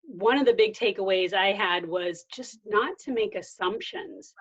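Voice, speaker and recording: A woman's voice: mid-range voice, a little slow. A woman talking conversationally as a guest in a discussion about her own experience. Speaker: guest sharing workplace experience. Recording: dull lo-fi sound.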